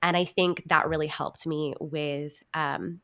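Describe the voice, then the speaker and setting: Young woman, slightly low voice, earnest. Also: steady tone, measured pace, sharp tone. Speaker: podcast guest, actor and marketing strategist. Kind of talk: casual interview conversation.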